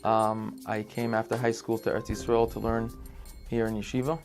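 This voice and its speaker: A young New man with a low, gravelly, flat voice speaks in a measured, reflective way. Guitar music plays clearly behind him. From Brooklyn, New York. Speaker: teacher trainee. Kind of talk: testimonial interview.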